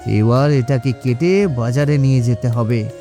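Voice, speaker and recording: A man's voice: mid-range voice, measured pace, warm tone, faint music behind, natural voice. A man voicing a sly, boastful swindling salesman in a children's cartoon, brash and wheedling. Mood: surprised, scheming. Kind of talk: cartoon story voice acting.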